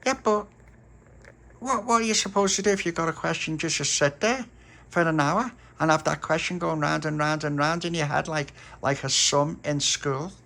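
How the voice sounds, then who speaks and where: A man voicing an outspoken, curious little girl in a high, earnest voice. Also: moderate pace, creaky, bright, forceful tone.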